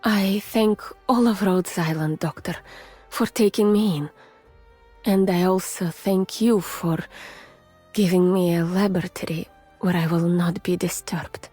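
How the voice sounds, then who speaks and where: Soft, breathy, weary Russian scientist. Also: female voice, fairly low pitch, steady tone, soft background music. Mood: grateful, earnest. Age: adult, mature. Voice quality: soft, breathy, often near a whisper. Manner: measured, formal, restrained. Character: gentle, weary, haunted Russian woman scientist. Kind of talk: soft, intimate ASMR-like game voice acting.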